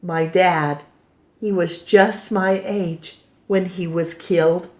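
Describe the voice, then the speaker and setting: A woman voicing a grieving, gentle father in a storybook, quiet and solemn. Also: fairly low pitch, fairly even intonation, slow. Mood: solemn, reassuring. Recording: roomy, echoing indoor sound.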